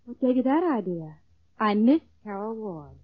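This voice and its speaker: An actress playing a defiant, cornered young female accomplice to murder, sharp and defensive, in 1940s radio drama. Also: medium pitch, sing-song, moderate pace, dry recording. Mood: cool, indignant. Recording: tinny, phone-like old radio recording.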